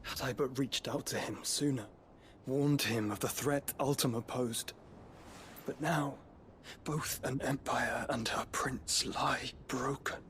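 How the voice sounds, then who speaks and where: A man's voice: medium pitch, steady tone, takes it slowly, a bit bright, a little hiss or hum. An actor playing an earnest, learned young nobleman in a fantasy game, speaking solemnly in formal, archaic phrasing. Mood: sorrowful, regretful. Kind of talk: dramatic fantasy game cutscene acting. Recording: music behind the voice. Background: music.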